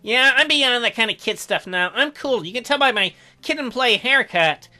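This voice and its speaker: A man's voice: very high voice, animated, normal speed, sharp tone, pitched above the performer's own voice, heavily acted. A man voicing an awkward, skeptical young male game protagonist who teases and stammers. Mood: bragging. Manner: hesitant stammering mixed with glib mockery. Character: awkward, skeptical, teasing teenage guy protagonist.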